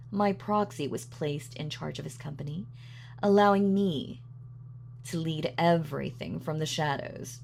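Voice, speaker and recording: A woman's voice: fairly low pitch, gravelly, a little hiss or hum. A woman playing a sadistic, gloating female supervillain in a scripted roleplay audio, taunting and savoring her words theatrically. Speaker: voice actor.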